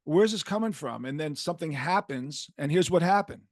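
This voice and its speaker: An older man with a New York accent, hosting a podcast and telling rambling stories with animated quoted voices. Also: on the high side, moderate pace, no room sound, clean studio sound. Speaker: podcast host. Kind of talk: casual podcast chat.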